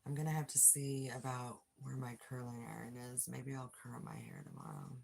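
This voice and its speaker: Older lady on a phone livestream, low gravelly husky voice, just chatting with chat. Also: lively intonation, moderate pace, a little mellow. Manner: chatty, reads and answers chat aloud. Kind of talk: casual live chat with viewers. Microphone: phone without external mic.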